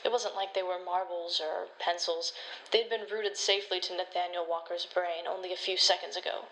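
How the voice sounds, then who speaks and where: A woman performing a horror story as an uneasy forensic pathologist, speaking in a measured, deliberate way with dramatic pauses. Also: steady tone, a bit bright. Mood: mounting dread. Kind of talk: scripted first-person horror narration. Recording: noisy low-quality home microphone recording.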